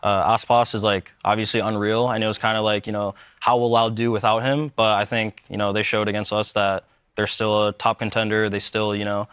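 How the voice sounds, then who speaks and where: A man's voice: lowish voice, brisk, clean tone, dry recording, studio quality. Chill young man rambling through fillers. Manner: relaxed, rambling with many fillers. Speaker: pro esports player. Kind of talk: post-match interview.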